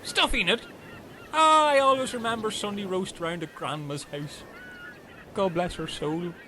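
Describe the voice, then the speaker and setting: A man's voice: high voice, measured pace, sharp tone, slight background noise. A man playing a dim, chatty, earnest Irish castaway in a comedy radio play, rambling and taking things literally. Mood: chatty, matter-of-fact.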